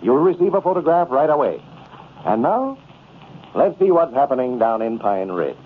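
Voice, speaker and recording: An animated male announcer in the 1930s radio manner. His pitch moves freely as he delivers scripted copy. The recording is tinny and noisy, like an old broadcast. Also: normal speed.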